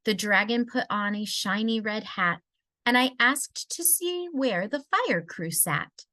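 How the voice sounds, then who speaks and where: A woman reading a rhyming children's story aloud in an expressive storybook style. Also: a little high, slow pace, no room sound. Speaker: storybook reader.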